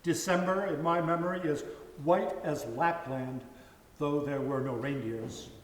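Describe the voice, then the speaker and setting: A man's voice: a little high, steady tone, a little slow, a little hiss or hum. A man giving a dramatic reading of a story, savoring each phrase. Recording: echoey hall with long reverb.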